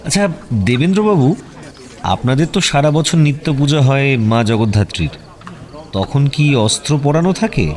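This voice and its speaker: An actor playing a shrewd, self-possessed private detective in a radio drama, with a deliberate, probing and wry delivery. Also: male voice, medium pitch, expressive, a little mellow, natural voice. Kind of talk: Bengali radio audio drama acting.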